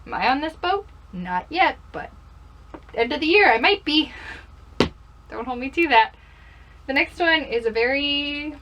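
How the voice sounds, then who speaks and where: High-voiced young woman, playful and self-deprecating. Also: a bit bright. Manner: self-deprecating, playful, rhetorical questions to herself. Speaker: book vlogger. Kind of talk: casual chatty vlog to camera. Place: bedroom.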